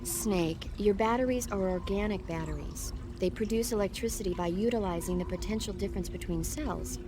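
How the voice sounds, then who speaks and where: A woman's voice: mid-range voice, brisk, background noise, lightly acted. A woman playing an earnest, bookish young medic who gives precise explanations over the radio in a video game. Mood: patient, explaining.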